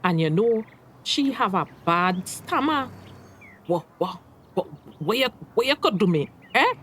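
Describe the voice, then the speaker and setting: An actress playing a sharp-tongued but caring mother in a radio drama, with a Tobagonian accent and expressive, admonishing dialect. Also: medium pitch, unhurried, a little hiss or hum. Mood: wry.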